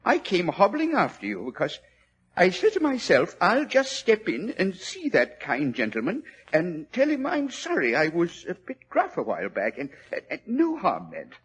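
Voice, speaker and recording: An actor playing a gruff, stammering old book collector with a quavering voice in a 1940s radio drama. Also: male voice, high-pitched, huge pitch swings, moderate pace, heavily acted, rough recording. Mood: apologetic. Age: older.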